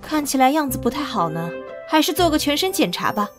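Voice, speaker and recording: A woman playing a gossipy young medic in an animated drama, expressive and chatty. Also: sits high, a little fast, over music. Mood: brisk concern. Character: lively, gossipy young female knights' medic. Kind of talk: Chinese animated drama acting.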